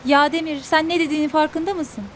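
An actress playing a young servant's daughter who is hurt but firm, speaking quietly and reproachfully in a TV drama. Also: female voice, high-pitched, steady tone, quick pace, a little hiss or hum, natural voice. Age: young adult. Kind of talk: TV drama acting. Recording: TV drama with music score.

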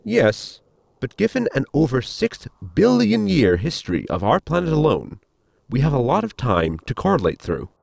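A polite, scientifically minded crewman from a sci-fi comedy audio drama, played by a man. His formal, explanatory delivery swoops widely in pitch, with a creaky edge, in a dry close recording. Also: huge pitch swings, moderate pace, a little mellow, no echo, exaggerated voice. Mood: earnest, explanatory.

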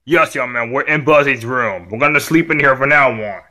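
A man's voice: mid-range voice, moderate pace, bright, forceful tone, pitched below the performer's own voice. Man playing a stern, weary scolding dad. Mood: calm, resigned. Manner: repetitive, admonishing.

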